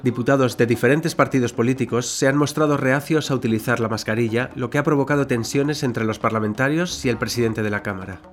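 A man's voice: medium pitch, fast talker, few pauses. A man from reading scripted news stories as a radio presenter. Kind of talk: scripted news-style narration. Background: music bed.

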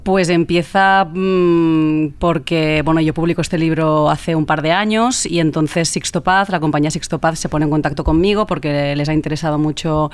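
A woman, a journalist and author, talking as a radio guest in a relaxed, thoughtful way, pausing and laughing often. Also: deep voice. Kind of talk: casual interview talk.

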